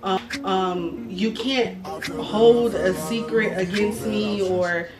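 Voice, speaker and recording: A woman's voice: mid-range voice, fairly even intonation, takes it slowly, crowd noise. Sassy young woman vlogging in an echoey room. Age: 20s. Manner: blunt, sassy, swears freely. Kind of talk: candid confessional vlog. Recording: roomy, mic at a distance, music behind.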